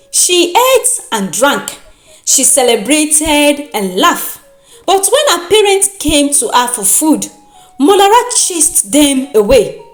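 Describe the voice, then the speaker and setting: A woman with a Nigerian accent narrating a folktale in a dramatic, expressive and deliberate storytelling manner. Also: high-pitched. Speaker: storyteller and host.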